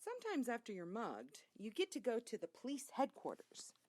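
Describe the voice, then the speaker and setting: A woman reading a children's story aloud as a narrator, in an expressive storytelling way. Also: a little high, moderate pace, no room sound. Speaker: reader.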